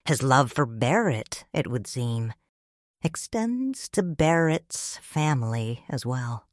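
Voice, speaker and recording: A middle-aged woman reads a first-person story as a grieving widow and mother. Her voice is low but expressive, with wide melodic rises and falls, and her pace is slow and tender. The sound is dry and close. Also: no room sound. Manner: slow, soft, tender and reflective. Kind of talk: scripted audiobook narration.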